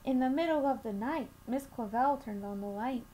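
Young female storytime reader, high voice, deliberate expressive delivery, slightly noisy webcam recording. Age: young adult. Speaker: children's storytime reader at a school. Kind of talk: reading a picture book aloud to children. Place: small room at home, indoors.

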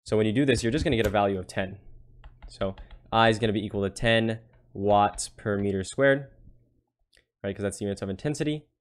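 A man with a fairly low voice works through a problem as a tutor. He explains casually, one step after another, and regularly tags his points with a quick check for understanding. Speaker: physics tutor.

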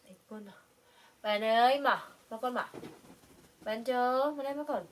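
High-voiced young woman, distant and noisy. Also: moderate pace. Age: young adult. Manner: coaxing, repeats short words. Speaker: pet monkey caretaker. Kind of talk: casual coaxing talk to pet monkeys. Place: small indoor room, on a bed. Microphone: camera mic a little way off. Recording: distant mic with clear background noise.

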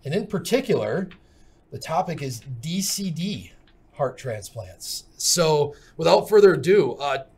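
A man in his forties hosts a podcast from a city studio, speaking into a desk microphone. His voice sits a bit high for a man and his talk is loose and folksy, full of fillers and restarts. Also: a little slow, husky. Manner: relaxed, folksy, many fillers. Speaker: podcast host. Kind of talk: casual podcast chat. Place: city studio with glass windows. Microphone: desk microphone on stand.

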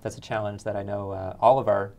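Young man, halting, encouraging interviewer. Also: lowish voice, level tone, darkish tone. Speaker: co-host, interviewer. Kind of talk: casual interview. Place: small indoor room, seated.

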